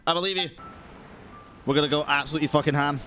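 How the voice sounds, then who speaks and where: A woman doing casual, sweary game commentary as a streamer, irreverent and quick to react. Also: low voice, fairly even intonation, a bit bright, faint music behind.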